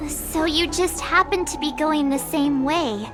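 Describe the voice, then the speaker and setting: A woman voicing a spirited, assertive young girl adventurer in a video game, sassy and expressive. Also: high voice, a little slow, over music. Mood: businesslike. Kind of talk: video game character dialogue.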